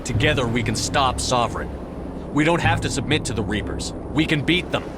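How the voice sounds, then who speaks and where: An actor playing a resolute, steadfast military commander in a video game, firm and direct. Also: male voice, mid-range voice, lively intonation, fairly quick, very noisy, lightly acted. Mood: urgent. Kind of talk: dramatic video game voice acting. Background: music.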